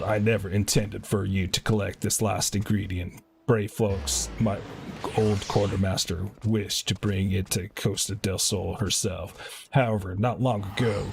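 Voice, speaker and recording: A man with a fairly low voice and a noticeable creaky, gravelly edge streams a video game. He reads dialogue aloud and adds casual commentary. There is a faint noise on the recording. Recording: clearly noisy home stream audio.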